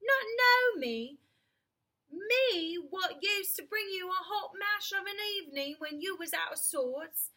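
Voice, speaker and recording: Storybook cabby, a woman playing him: friendly, blunt working man, homely speech, forceful bright delivery. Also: very high-pitched, expressive, slow, voice pushed up, heavily acted. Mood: indignant. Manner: colloquial, hearty, emphatic. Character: plain-spoken, friendly working-class male London cab driver.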